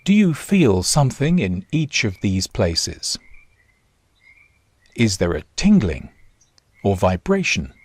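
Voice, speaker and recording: A man guiding a body scan meditation in short, measured instructions with long pauses. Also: fairly low pitch, wildly swooping pitch, slightly rough, no room sound. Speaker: meditation guide. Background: nature sounds.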